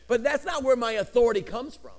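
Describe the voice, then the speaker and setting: A middle-aged man from Chicago whose first language was Greek, preaching a lively sermon with swinging inflections and joking asides. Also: very high-pitched, fast. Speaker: pastor.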